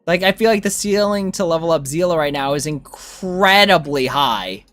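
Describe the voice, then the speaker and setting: Animated game streamer with lively pitch swings. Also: moderate pace, no echo, soft background music. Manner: informal, animated reactions to chat. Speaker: game livestreamer. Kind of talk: casual gaming stream chat, thinking aloud and replying to viewers.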